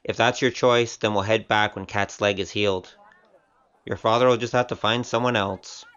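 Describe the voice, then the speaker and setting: Man playing a smooth-voiced, easygoing wanderer. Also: lowish voice, fairly even intonation, normal speed, a bit bright, muffled recording, lightly acted. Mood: wry, probing. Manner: casual, plain-spoken. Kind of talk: audio drama acting.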